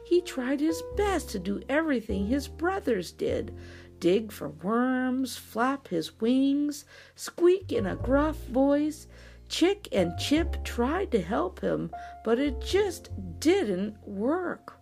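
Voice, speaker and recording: A woman narrating a children's picture book in an animated storytelling style, over soft music. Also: sits high, slow pace, vocal fry. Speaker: storyteller reading children's books.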